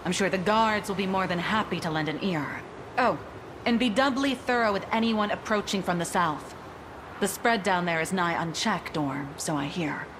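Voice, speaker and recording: A woman's voice: fairly low pitch, normal speed, background noise, natural voice. An actress playing a stern, proud lady commander, with a formal, commanding, sardonic manner in a fantasy game. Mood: stern, dismissive. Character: stern, proud lady commander keeping order amid plague. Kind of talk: theatrical fantasy game acting. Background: music.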